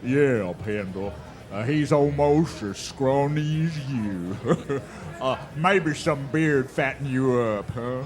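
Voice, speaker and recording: Menacing, mocking bushwhacker played by a man, unhurried drawl and mid-pitched voice, for a staged western radio play. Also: animated. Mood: jeering. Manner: sly, taunting drawl. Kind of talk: western radio drama acting. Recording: live stage with audience noise.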